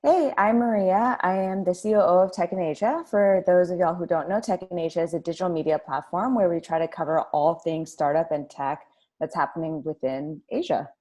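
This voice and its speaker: A woman's voice: on the low side, fairly quick, warm tone. A woman executive answering panel questions in an enthusiastic, casual manner. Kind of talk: online panel discussion.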